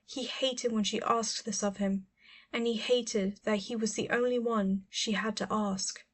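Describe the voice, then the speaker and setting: A woman's voice: medium pitch, fairly even intonation, measured pace. Female audiobook narrator for scripted fiction, mid-range voice.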